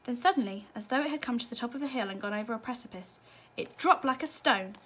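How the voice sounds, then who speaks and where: A woman's voice: on the high side, quick pace, fairly bright tone, breathy edge. A woman narrating a children's storybook expressively, as a teacher reading at bedtime.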